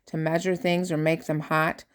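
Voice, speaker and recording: An older woman, a grandmother, reads a rhyming children's picture book aloud with expressive, theatrical emphasis on key words. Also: sits low, smooth. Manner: theatrical emphasis, drawn-out key words, dropping to hushed asides.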